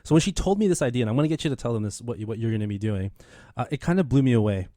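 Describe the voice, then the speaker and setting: Male radio host, 30s, loose and rambling, mid-pitched, dry studio mic. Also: expressive, rapid-fire, warm tone, dry recording. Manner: rambling, many fillers and restarts. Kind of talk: casual radio chat.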